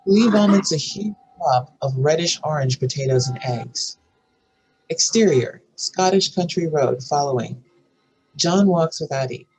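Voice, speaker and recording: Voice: mid-range voice, animated, measured pace, a little mellow, low-quality recording. There is faint background noise. A narrator with a mid-range voice reads screenplay scene headings and action lines as scripted narration. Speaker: narrator reading stage directions.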